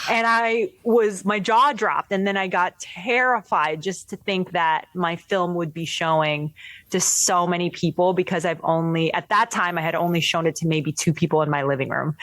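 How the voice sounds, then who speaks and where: Animated young woman, low voice, dry. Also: no room sound. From Philippines. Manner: animated, laughing, many fillers and restarts. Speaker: filmmaker guest. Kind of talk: casual interview chat.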